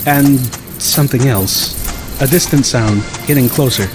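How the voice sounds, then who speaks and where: A male audiobook narrator reads a scripted first-person story. His voice sits at an average pitch, with music clearly playing behind it. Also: a little slow.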